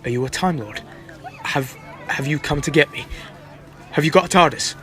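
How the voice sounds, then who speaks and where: A man playing a nervous, excitable market trader in an audio drama, with a jittery, emotional delivery. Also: a little high, moderate pace, close to an everyday voice. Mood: eager hope. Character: nervous male market trader, exiled time traveller, excitable. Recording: music behind.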